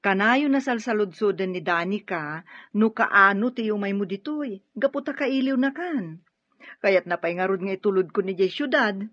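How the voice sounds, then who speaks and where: A caring ilocano sister, husky mid-pitched voice, dry muffled radio drama recording. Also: female voice, animated, normal speed, fairly bright tone, dry recording. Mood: friendly. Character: caring, reassuring younger sister of the professor.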